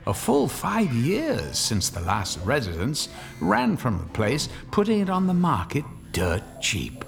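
A man's voice: medium pitch, wildly swooping pitch, a little slow, creaky, soft background music, exaggerated voice. A man playing a sly, theatrical horror storyteller who relishes grisly tales in dramatic scripted narration. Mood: grave, dramatic. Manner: theatrical, sly, dropping toward hushed emphasis.

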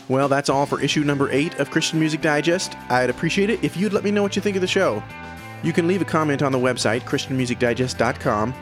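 A man speaking as a music podcast host and interviewer in a casual, conversational style. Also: medium pitch.

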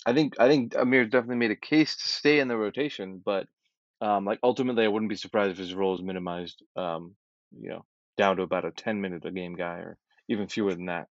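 A man's voice: lowish voice, no room sound. Laid-back young man, dull dry mic. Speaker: podcast co-host. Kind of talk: casual sports podcast chat.

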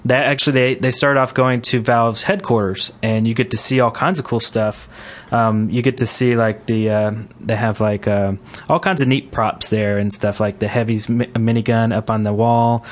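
A man hosting a casual gaming podcast, talking in a halting, rambling way. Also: mid-range voice, fairly even intonation. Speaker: podcast host.

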